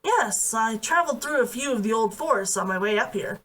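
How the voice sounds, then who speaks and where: Woman reading as a courteous, guarded adventurer man, lively intonation. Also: on the high side, brisk. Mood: earnest. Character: smitten male armed adventurer, courteous and guarded. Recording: voice distant from the mic.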